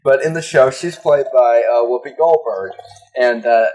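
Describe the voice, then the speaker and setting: A man's voice: medium pitch, normal speed, a little mellow. A young man co-hosting a podcast, rambling through crude jokes with lots of fillers and laughs. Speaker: podcast co-host. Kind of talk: casual comedic chat.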